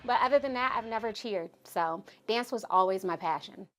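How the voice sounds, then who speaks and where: A woman's voice: mid-range voice, moderate pace, a bit bright. A woman from Maryland who is a cheerleader and graphic designer, talking in a bubbly, playful way in an interview. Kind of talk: interview testimony.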